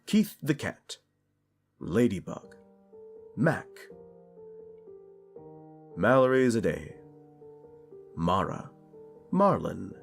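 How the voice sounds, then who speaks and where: A man's voice: lowish voice, sing-song, extremely slow, creaky, pauses often, soft background music. A man hosting his audio channel, speaking casually and earnestly to listeners with slight sinus congestion. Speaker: voice actor and channel host. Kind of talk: casual address to listeners, thanking supporters.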